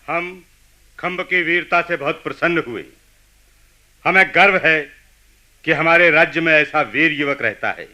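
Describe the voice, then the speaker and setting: A man's voice: fairly high pitch, a little slow, long pauses, pushed and bright. An actor playing a proud, stately king in a Hindi radio play, with formal, declamatory delivery. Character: proud, stately king making a royal proclamation.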